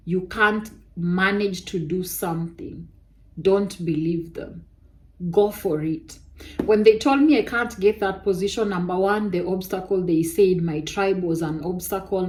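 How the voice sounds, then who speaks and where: Young woman, soft voice, slow and unhurried, personal-testimony vlogger tone. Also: mid-range voice. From Kenya. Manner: animated testimony with Kenyan interjections like "eh" and "kai". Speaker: vlogger telling a personal story. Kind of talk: casual storytelling to viewers.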